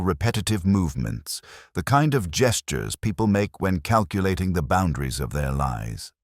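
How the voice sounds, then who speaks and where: A man playing a melancholy, reflective police inspector, recounting a case in measured, literary first-person narration. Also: a bass voice, no room sound, clean studio sound, exaggerated voice. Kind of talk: scripted first-person detective story narration.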